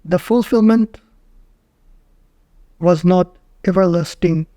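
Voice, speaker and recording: A man narrating a guided meditation in short, measured phrases with frequent pauses. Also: high-pitched, mellow, no room sound, studio quality.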